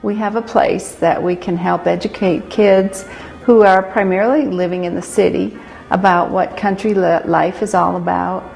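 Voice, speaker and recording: A woman's voice: on the low side, fairly even intonation, normal speed, gravelly, darkish tone, rough recording. An American woman talking informally in an interview about her work. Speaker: farm owner. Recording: background noise and ambience.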